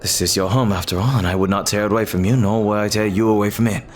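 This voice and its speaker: A man playing an earnest, lovestruck pirate captain in an ASMR roleplay, speaking haltingly and tenderly in formal, old-fashioned phrases. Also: lowish voice, fairly even intonation, slight background noise, lightly acted. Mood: restrained, wistful. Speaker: audio roleplay performer.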